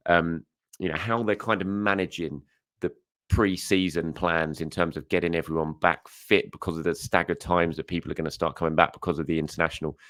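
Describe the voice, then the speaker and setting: A hoarse young man hosts a football news show, with a deep voice that sounds croaky. He talks through the news in a loose, chatty way, with plenty of fillers and restarts. The sound is close and dry, with no room behind it. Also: fairly even intonation, fairly quick, fairly bright tone, dry recording. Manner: chatty, with frequent fillers and self-corrections. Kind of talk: casual solo commentary.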